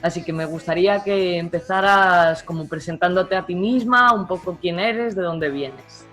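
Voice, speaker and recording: Female host, low-pitched, polite, wordy questions. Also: normal speed, a bit bright, soft background music. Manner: polite, asks long rambling questions. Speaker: interview host. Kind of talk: friendly interview questions.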